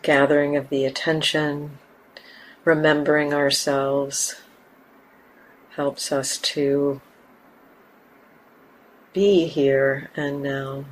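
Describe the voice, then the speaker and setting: A woman teaching a guided meditation in a gentle, unhurried manner, leaving long pauses between short phrases. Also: low-pitched, rough recording. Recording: steady background hiss and room ambience.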